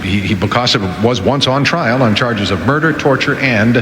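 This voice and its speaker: A man's voice: mid-range voice, normal speed. A male radio newsreader with a mid-range voice, delivering headlines and dropping in casual asides. The sound is echoey and reverberant, with faint noise behind him. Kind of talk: news reading with casual asides.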